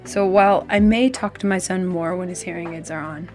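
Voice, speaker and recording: A woman reads her own essay as a parent, gentle and reflective. Her voice is on the low side. Soft music plays faintly behind her. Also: steady tone, fairly quick. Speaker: parent of a deaf son. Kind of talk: personal essay reading.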